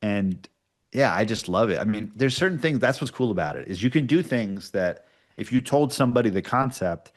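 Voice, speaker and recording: A man's voice: on the low side, lively intonation, brisk. A man speaking informally and a little self-deprecatingly as a guest writer-producer in a casual interview. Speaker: guest writer-producer of podcast audio dramas.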